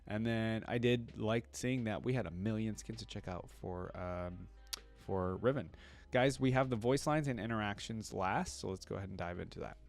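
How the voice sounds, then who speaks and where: A man's voice: fairly low pitch, normal speed, vocal fry, faint music behind. A man hosting a reaction video, reading aloud and commenting casually in a conversational way, addressing his viewers directly.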